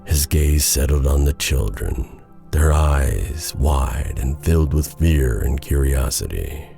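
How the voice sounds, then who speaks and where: A man reads a dark fairy tale as a bedtime storyteller. His voice is exceptionally deep with a gravelly fry, and he delivers the narration slowly and dramatically over soft music.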